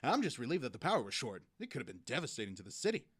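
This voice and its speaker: A man's voice: mid-range voice, huge pitch swings, very fast, slightly rough. A man playing an upbeat, chatty local TV news presenter in an audio drama. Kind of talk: news broadcast patter.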